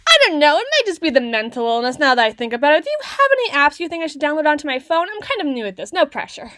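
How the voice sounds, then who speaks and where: A woman acts out a socially awkward, bookish tsundere girl in her young adulthood for an ASMR roleplay. Her voice sits fairly high and her phrasing is wordy and halting, easily flustered. The sound is very dry and close, with no room echo. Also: big pitch swings, a bit bright. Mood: defensive, awkward. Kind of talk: ASMR roleplay, acted monologue to the listener.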